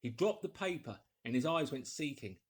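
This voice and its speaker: A man's voice: fairly high pitch, expressive, normal speed. A man reading a story aloud as a scripted, dramatic audiobook narrator.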